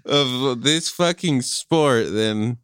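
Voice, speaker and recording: A man's voice: mid-range voice, very slow, fairly bright tone, smooth, dry recording, clean studio sound. A man co-hosting a casual chat, joking, teasing and swearing freely.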